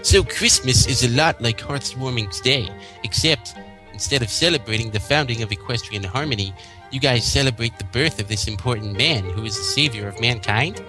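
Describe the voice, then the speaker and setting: A man's voice: mid-range voice, moderate pace, music in the background, natural voice, rough recording. A man voicing a brash, casual female pegasus pony in an amateur story reading. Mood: curious.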